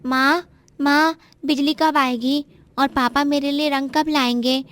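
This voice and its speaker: A woman's voice: high voice, fairly even intonation, measured pace, fairly bright tone. A woman voicing an innocent little boy in a story, with a childlike, earnest manner. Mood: anxious. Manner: childlike, questioning. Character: anxious innocent little boy, devoted to his mother.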